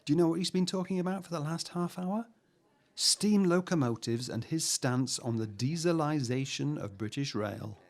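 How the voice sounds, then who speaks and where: An actor playing a talkative young 1950s husband in a naturalistic audio drama, dry and exasperated in manner. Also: male voice, a little high, lively intonation. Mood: wryly exasperated. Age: young adult. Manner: dry, exasperated, deliberate repetition for comic effect.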